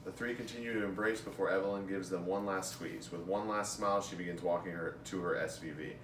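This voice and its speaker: A man's voice: low voice, fairly even intonation, fast. A young man reading aloud from a script in a room at home, picked up by a camera a few feet away. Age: young adult. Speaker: amateur reader of stage directions and a part. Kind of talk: script read-through. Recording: distant echoey room mic, clear noise.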